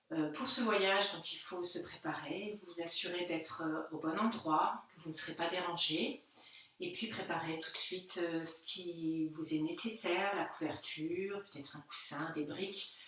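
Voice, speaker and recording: A woman teaching yoga, guiding a relaxation session gently and unhurriedly in short phrases with pauses. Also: on the low side. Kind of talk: guided Yoga Nidra relaxation instruction. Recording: very echoey room, distant microphone.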